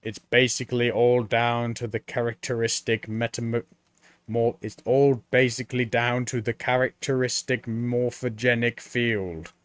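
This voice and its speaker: A man doing slow, grave Death. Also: medium pitch, level tone, clean tone, no room sound, lightly acted. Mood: matter-of-fact. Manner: slow, measured, deliberate delivery. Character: grim skeletal male Death, calm and dry. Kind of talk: audiobook character reading.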